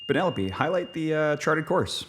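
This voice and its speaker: Meek astronaut captain for an audio comedy, hesitant, up and down pitch, clear background noise. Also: male voice, big pitch swings, moderate pace. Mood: dutiful, polite. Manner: hesitant, politely deferential. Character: deferential, hesitant lone male spaceship captain. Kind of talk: sci-fi audio comedy acting. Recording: clearly noisy spacecraft ambience.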